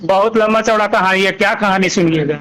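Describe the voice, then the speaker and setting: A man's voice: high-pitched, fairly quick, fairly bright tone, rough recording. A man with an eastern Indian Bhojpuri-belt accent answering as a singer in an informal interview, emphatic and repeating himself. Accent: Bhojpuri-Magahi belt Hindi, eastern India.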